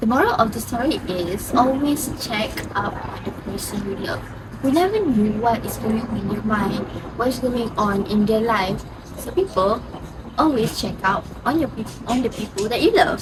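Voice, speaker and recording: A young woman student with a fairly high, animated voice. She talks casually to listeners over loud background noise. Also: normal speed. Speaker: student performer. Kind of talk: casual direct address. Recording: very noisy, loud background ambience.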